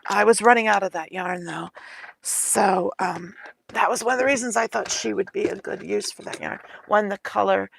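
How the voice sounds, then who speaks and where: A woman's voice: mid-range voice, moderate pace, vocal fry, a little hiss or hum. A woman chatting casually while she knits, rambling with fillers and losing her voice. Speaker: streamer knitting on a livestream. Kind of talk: casual chat.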